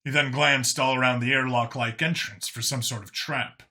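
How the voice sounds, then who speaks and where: A man's voice: medium pitch, fairly even intonation, normal speed, pushed and bright. A man reading a science fiction story as a scripted audiobook narrator.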